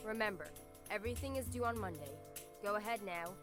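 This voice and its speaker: A woman voicing a composed schoolteacher in a visual novel, formal, brisk and instructive. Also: medium pitch, lightly acted. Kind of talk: scripted visual novel dialogue. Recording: music playing behind.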